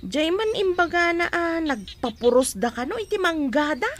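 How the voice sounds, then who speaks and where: A woman's voice: very high voice, animated, normal speed. An actress playing a gossiping village woman in a radio drama, confiding what she heard and saw.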